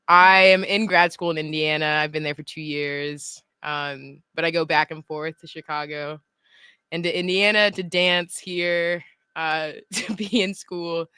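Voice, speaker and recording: A woman's voice: sits low, steady tone, measured pace, bright, forceful tone, clear, resonant tone, no echo. A young woman in her twenties, a guest in a radio studio, chatting and giggling often. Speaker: music journalist guest. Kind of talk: casual radio interview.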